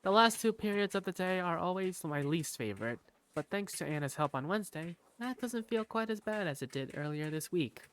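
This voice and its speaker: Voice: mid-range voice, animated, fairly quick. A narrator reading scripted first-person story narration for a game fandub. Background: background music.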